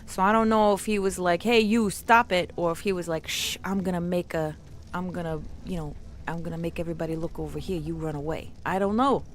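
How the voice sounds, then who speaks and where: A woman's voice: on the low side, fairly even intonation, fairly quick, a little hiss or hum, lightly acted. A woman streamer voicing an exuberant mafia don woman, rambling playfully in live role-play chat. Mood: gossipy, conspiratorial. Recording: music playing behind the voice. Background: music.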